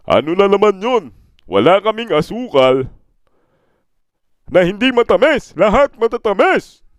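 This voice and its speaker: A man's voice: high-pitched, big pitch swings, a little slow, no room sound, studio quality, voice pushed up. A man playing an exasperated, grumbling storekeeper in a comic skit, speaking emphatically.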